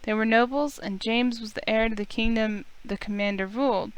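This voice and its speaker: A woman's voice: on the high side, steady tone, moderate pace, clean tone. A woman reading scripted first-person narration aloud from a visual novel.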